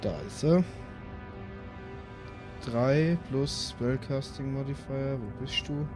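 A man's voice: mid-range voice, takes it slowly. Hesitant male game player, music behind. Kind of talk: casual improvised game chat.

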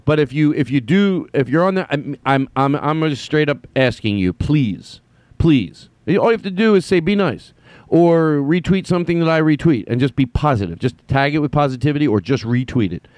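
A man's voice: on the high side, animated, normal speed, darkish tone, no room sound. A man hosting a solo comedy podcast, rambling and correcting himself as he jumps between thoughts. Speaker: podcast host. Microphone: headphones on, studio mic.

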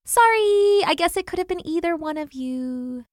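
A woman's voice: very high-pitched, expressive, no room sound, clean studio sound, voice pushed up. A woman voicing a hyperactive, scatterbrained, cheerful young hummingbird in a children's story, rattling on and repeating words. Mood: sheepish, friendly. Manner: rapid rambling patter, repeats words.

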